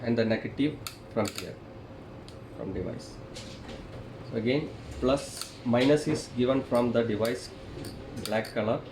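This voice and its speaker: A man who is a non-native English speaker gives a hands-on technical demonstration, explaining step by step in a halting, repetitive way. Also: medium pitch. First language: not English. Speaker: tutorial presenter, installer. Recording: echoey room, clearly noisy.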